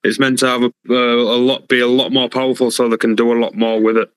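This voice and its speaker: A man trades crude, joking banter in a casual chat. His voice sounds smooth and resonant, recorded dry with no room sound. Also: medium pitch, flat delivery, fast talker, no room sound.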